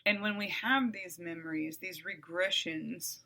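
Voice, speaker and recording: A middle-aged woman hosting her own podcast, talking bluntly and with profanity in a calm, even voice that flares up loud at times. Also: on the low side, a little slow. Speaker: podcast host. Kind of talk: candid monologue.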